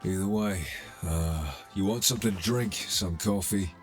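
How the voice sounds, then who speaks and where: A man's voice: low-pitched, normal speed, faint music behind, close to an everyday voice. A man playing a cocky, sardonic criminal middleman in a cyberpunk ASMR roleplay, casual and streetwise, teasing as he talks. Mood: businesslike, streetwise.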